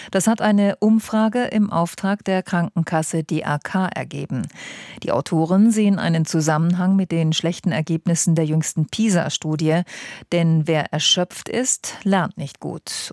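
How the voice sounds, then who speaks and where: A female news anchor reading the headlines in a measured, formal way. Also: on the low side, a little mellow, dry recording, clean studio sound.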